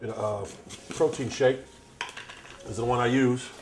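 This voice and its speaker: A man's voice: mid-range voice, steady tone, slow pace, slight background noise. A middle-aged man hosting a casual cooking demonstration in a home kitchen, chatting easily while he works. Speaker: cooking show host.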